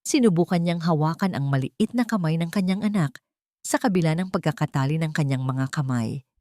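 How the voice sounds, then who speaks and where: A woman reads a melodramatic story in a fairly low voice. Her delivery is solemn and scripted, and the sound is dry and close, with little room around it. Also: lively intonation, brisk, darkish tone, no echo. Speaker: storyteller narrator. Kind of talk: dramatic scripted narration of a romance story.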